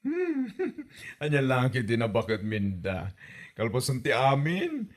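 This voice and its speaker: A man with an Ilocano accent playing a gossipy, theatrical friend in a comedy drama, with campy, drawn-out exclamations. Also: medium pitch, huge pitch swings. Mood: dramatic teasing.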